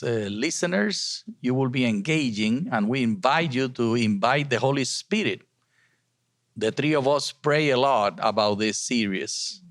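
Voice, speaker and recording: Earnest, deliberate man in a dry space. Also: mid-range voice, no room sound. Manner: deliberate, short emphatic phrases. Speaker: academic lecture series host. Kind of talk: reflective, earnest introduction.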